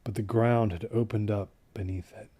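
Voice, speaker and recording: A man acts out a delusional, wounded survivor in an audio horror drama. His voice is low and slightly husky. He recounts his ordeal in halting, detached phrases that often drop toward a hush. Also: steady tone, natural voice. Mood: eerie, secretive. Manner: halting, detached, with hushed near-whispered phrases. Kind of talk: first-person audio horror drama monologue.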